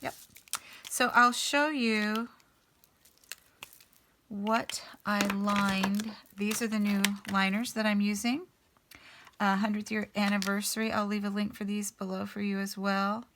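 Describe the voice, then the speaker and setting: A woman hosting a casual coloring session, a little raspy as she gets over an illness, thinking aloud in an unhurried way with many ums. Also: mid-range voice, steady tone, fairly bright tone, a little hiss or hum. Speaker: artist and channel host. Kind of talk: casual color-along chat.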